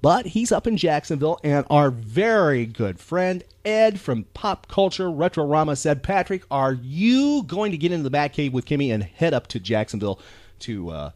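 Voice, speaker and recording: Male podcast host, American accent, lively swooping intonation, folksy, rambling, dry close recording. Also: on the high side, normal speed, no echo. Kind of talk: casual chatty podcast talk.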